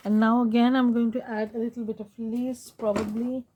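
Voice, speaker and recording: A woman hosting a craft tutorial, talking casually while she works, her voice hoarse and fading, and she keeps clearing her throat. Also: on the high side, level tone, a little hiss or hum. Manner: soft, unhurried, frequent throat clearing. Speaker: craft channel host.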